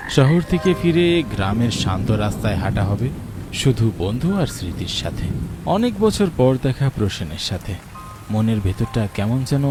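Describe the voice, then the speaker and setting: A man playing a reflective narrator and wary friend, telling an atmospheric scripted ghost story. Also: medium pitch. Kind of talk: atmospheric scripted horror storytelling with dramatised dialogue. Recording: rain and thunder behind the voice. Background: rain, thunder.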